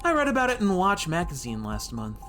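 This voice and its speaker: A man's voice: sits high, huge pitch swings, brisk, sharp tone, faint music behind, pitched above the performer's own voice, exaggerated voice. A man voicing a cool female defense lawyer in a noir game, questioning and sardonic with a dry wit. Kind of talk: reading noir game dialogue aloud.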